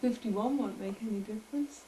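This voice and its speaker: A woman's voice: a little high, a little fast, warm tone, slight background noise. Woman in an echoey room, coaxing questions. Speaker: family interviewer. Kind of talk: casual family interview.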